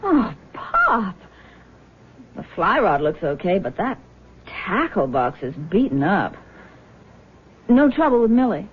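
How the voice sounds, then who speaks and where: An actress playing a caring, sympathetic grown daughter in a 1970s radio drama. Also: female voice, mid-range voice, huge pitch swings, slow, pauses often, husky, phone-quality sound, full character voice. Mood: indignant, concerned. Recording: thin, band-limited vintage radio recording.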